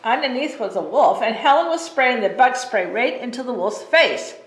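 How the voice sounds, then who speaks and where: A woman librarian reading a bedtime story aloud in a gentle, expressive way. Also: fairly high pitch, normal speed. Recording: roomy echo.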